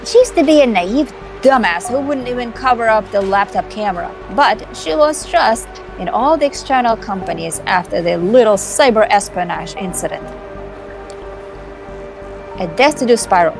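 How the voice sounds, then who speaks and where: A woman playing a scheming, cocky female vampire hacker in an amateur visual novel performance, theatrical and condescending with dramatic pauses. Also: on the high side, lively intonation, gravelly, poor audio. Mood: smug. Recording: music behind the voice.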